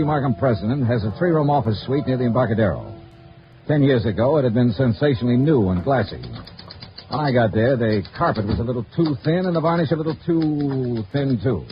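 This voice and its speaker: A man's voice: mid-range voice, lightly acted. Clipped, probing radio detective played by a man. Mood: dry narration. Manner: clipped, matter-of-fact, pressing with questions. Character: dry, probing male insurance investigator narrating his case. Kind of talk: 1950s radio detective drama acting. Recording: dull, noisy old radio recording.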